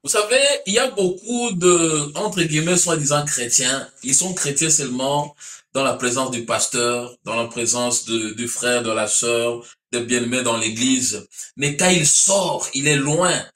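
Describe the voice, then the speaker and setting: A man's voice: mid-range voice, unhurried, a bit bright, reverberant. A man, preaching and teaching emphatically, often repeating his phrases. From Central Africa. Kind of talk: sermon-like teaching in a religious discussion.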